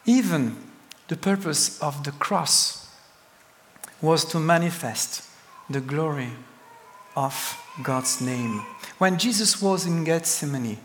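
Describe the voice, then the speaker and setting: A man with a French accent preaching a sermon as a pastor, speaking slowly and deliberately with emphatic pauses. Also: on the high side, lively intonation, fairly bright tone, long reverb tail, a little hiss or hum.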